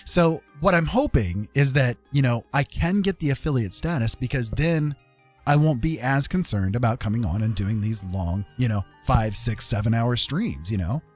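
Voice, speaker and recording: A man livestreaming in a casual, rambling way, with a jokey, self-deprecating, colloquial manner. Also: medium pitch. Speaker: live streamer and digital artist.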